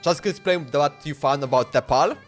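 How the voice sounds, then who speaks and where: Higher-voiced man casually streaming a game. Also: moderate pace. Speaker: live streamer. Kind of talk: casual gameplay commentary. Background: faint game music.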